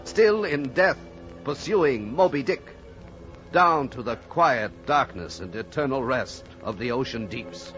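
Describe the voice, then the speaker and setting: An actor playing a solemn sailor who survived a whaling tragedy, narrating gravely and dramatically in a 1940s radio drama. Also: male voice, mid-range voice, animated, slow. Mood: grave, intense. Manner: grave, dramatic, incantatory repetition. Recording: old muffled radio recording, music behind.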